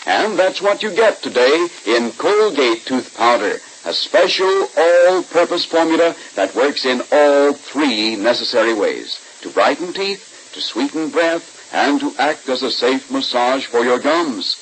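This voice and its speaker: A man's voice: mid-range voice, very animated, unhurried, hardly any pauses, fairly bright tone, poor audio. A man as a 1940s radio announcer, reading scripted narration and ads in a polished, emphatic, selling manner. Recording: hissy old-time radio recording.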